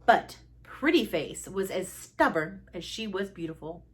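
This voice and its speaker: A woman reading a children's story aloud as expressive narration, with deliberate dramatic emphasis and pauses. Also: on the low side. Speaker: storybook reader.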